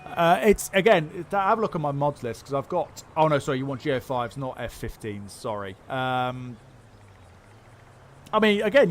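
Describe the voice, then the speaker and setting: Man streaming a game, mid-pitched, rambles through viewer questions with a faint hiss underneath. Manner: rambling, many fillers and restarts. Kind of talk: casual livestream chat, answering viewer questions.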